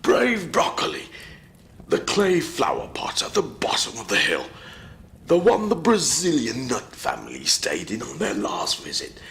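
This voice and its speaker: Brief: kindly old moustached elder, gravelly and unhurried, wide melodic lilt; a man plays him. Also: fairly low pitch, exaggerated voice. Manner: calm, soothing, unhurried. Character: wise old vegetable elder with silver moustache. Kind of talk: storybook character reading.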